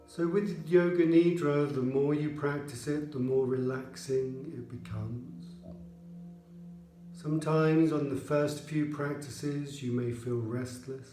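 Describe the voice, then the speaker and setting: Mellow-voiced man guiding a relaxation meditation. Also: a little high, steady tone, roomy sound, faint music behind. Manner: measured, soothing delivery with pauses. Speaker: yoga nidra instructor. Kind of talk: guided relaxation meditation narration.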